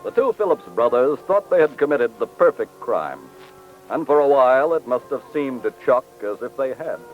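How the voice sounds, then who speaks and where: A man's voice: mid-range voice, sing-song, moderate pace. Male narrator, grave and formal, vintage radio crime series, tinny narrow sound with faint music behind. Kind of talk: scripted 1940s radio narration. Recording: tinny, band-limited old radio recording.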